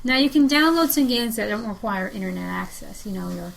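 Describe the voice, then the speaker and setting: A woman guesting on a podcast, pitching her idea persuasively in casual talk with friends, checking that they follow. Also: animated, fairly quick, low-quality recording. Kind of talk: casual discussion among friends. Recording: clear background room noise.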